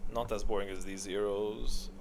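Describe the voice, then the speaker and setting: A man's voice: lowish voice, fairly even intonation, moderate pace, gravelly, noisy background. A man giving casual, off-the-cuff commentary on a game tier list, bluntly opinionated, thinking aloud and repeating himself for emphasis.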